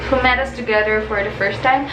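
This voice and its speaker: A young woman with a high voice chatting casually as a vlogger, reading out questions with an upbeat manner. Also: fairly even intonation, fast talker, background noise. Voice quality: high-pitched. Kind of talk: casual chat. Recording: echoey room with background music.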